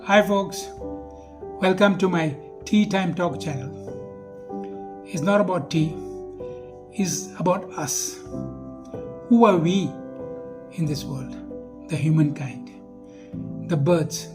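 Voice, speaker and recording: A man presenting a simple explanatory talk to viewers in a deliberate, emphatic, teacherly manner. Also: on the high side, over music.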